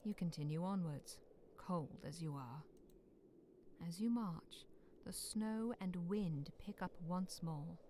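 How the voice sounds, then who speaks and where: A woman narrating a bleak, frozen monster-hunting visual novel as a solemn storyteller, with phrases sinking into hush. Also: fairly low pitch, slow pace. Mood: ominous. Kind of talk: scripted second-person dramatic narration. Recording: clear noise behind the voice.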